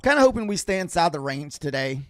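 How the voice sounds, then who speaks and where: A man's voice: a little high, big pitch swings, quick pace, dry recording, studio quality. A man hosting a live trading stream, chatting casually with viewers in a rambling way full of fillers and restarts, his voice a bit scratchy from a week of talking. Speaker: livestream trading host.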